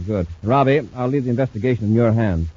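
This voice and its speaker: An actor playing a steady, authoritative male space patrol commander in an old-time radio serial. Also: medium pitch, quick pace, lightly acted. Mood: brisk command. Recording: noisy muffled vintage radio recording.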